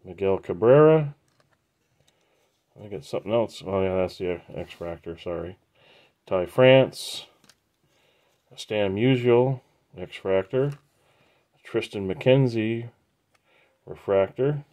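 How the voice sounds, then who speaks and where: A man with a fairly low voice talks slowly as he opens trading card packs for his viewers. He names each card plainly and unhurriedly, with the easy pace of a regular card-break host. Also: a little mellow. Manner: slow, unhurried, matter-of-fact listing. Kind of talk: casual pack-opening commentary.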